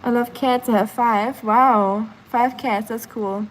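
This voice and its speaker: A woman's voice: fairly high pitch, takes it slowly, poor audio. Sleepy chatty young woman streamer. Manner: chatty, many fillers, sleepy and relaxed. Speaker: live streamer. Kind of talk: casual live stream chat, reading chat aloud. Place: home kitchen.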